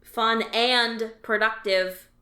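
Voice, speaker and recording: A woman's voice: a little high, steady tone, slow pace, sharp tone. A woman voicing a jaded female alien office worker who talks about dull work in a dry, resigned, matter-of-fact way. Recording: roomy echo.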